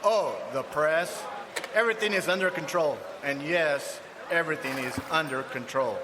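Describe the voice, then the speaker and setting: An actor playing a gruff Roman centurion guard in a live audio drama, giving curt orders. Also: male voice, fairly high pitch, moderate pace, noisy background, natural voice. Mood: dismissive.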